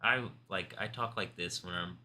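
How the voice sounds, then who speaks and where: A man hosting a live stream, talking in an intense, rambling way, confrontational at times and soft-spoken at others. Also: deep voice, normal speed, slight background noise. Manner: calls himself soft-spoken. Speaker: livestream host.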